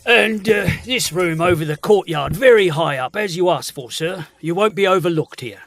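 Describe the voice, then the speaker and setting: An actor playing an obliging, chatty lodging-house keeper, deferential and folksy, in a period gothic audio drama. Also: male voice, high-pitched, moderate pace, fairly bright tone, no room sound.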